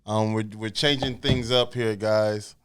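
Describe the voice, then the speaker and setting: A man's voice: lowish voice, fairly even intonation, a little slow, a little hiss or hum. A man hosting a casual podcast, upbeat and talking straight to his listeners. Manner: upbeat, addresses audience directly. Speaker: podcast host, mortgage lender.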